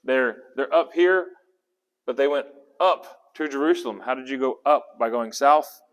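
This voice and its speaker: A man teaches scripture to a small group in a loose, conversational way, stopping often to quiz his listeners. His voice sits at an ordinary middle pitch. The sound carries a long hall reverb. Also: normal speed. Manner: conversational, asks the group questions, restarts words. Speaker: Bible teacher. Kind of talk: interactive Bible study teaching.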